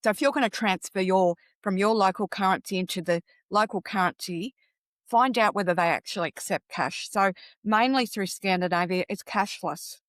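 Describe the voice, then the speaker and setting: A woman's voice: medium pitch, moderate pace, no room sound. A woman with an Australian accent, chatting as a podcast guest and giving practical advice in a conversational way. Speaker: podcast guest, travel coach.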